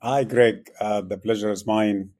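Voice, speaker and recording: A man from Egypt with a mellow, warm voice explains things at length in an interview. He pauses often on fillers as he goes. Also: on the low side, steady tone. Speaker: tour guide and author. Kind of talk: interview answers.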